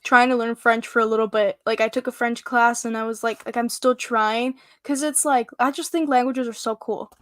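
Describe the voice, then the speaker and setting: A woman's voice: a little high, fairly even intonation, moderate pace, few pauses, no room sound, clean studio sound. Chatty young woman, Spanish first language. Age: 20s. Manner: rambling, heavy use of "like". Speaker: guest. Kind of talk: casual chat on a livestream.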